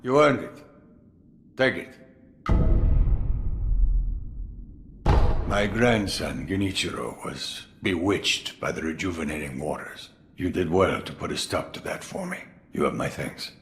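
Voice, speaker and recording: A man's voice: low-pitched, expressive, normal speed, gravelly, a bit bright, a little hiss or hum, full character voice. A man playing an aged, sly and grandfatherly sword master in a video game, with a theatrical, musing delivery. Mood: wry, grateful. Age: older. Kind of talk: dramatic video game dialogue.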